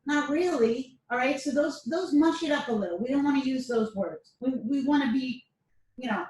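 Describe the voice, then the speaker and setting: A woman instructor giving a casual self-defense lesson, chatty, often checking in with "all right?". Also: a little high. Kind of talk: casual class lecture to camera. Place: dojo or training room. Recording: echoey room, mic at a distance.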